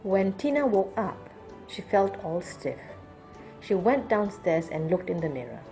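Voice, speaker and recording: A woman storyteller reading a children's picture book aloud in an expressive style. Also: medium pitch, a little slow, faint music behind.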